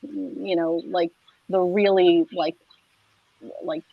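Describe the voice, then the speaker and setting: A woman's voice: fairly low pitch, level tone, slow pace, gravelly, no echo. A woman chatting casually as an interview guest, talking loosely with many "like" fillers and restarts. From United States. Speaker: guest, clinical social worker. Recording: dry, slightly muffled lo-fi audio.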